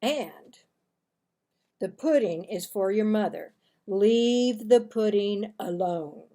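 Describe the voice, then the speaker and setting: A woman voicing a grand, brisk father from a children's storybook, giving emphatic orders. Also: mid-range voice, mellow, lightly acted. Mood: firm, emphatic.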